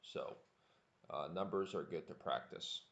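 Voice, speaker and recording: A man teaching English on a livestream, speaking deliberately and repeating words for learners. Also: sits low, vocal fry, fairly bright tone. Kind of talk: live online language lesson, answering viewer chat. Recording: echoey room.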